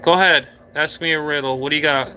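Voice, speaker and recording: A man's voice: on the high side, fairly even intonation, quick pace, sharp tone, slight background noise, poor audio. A man hosting a casual live stream, rambling playfully and talking straight to his viewers from a room indoors.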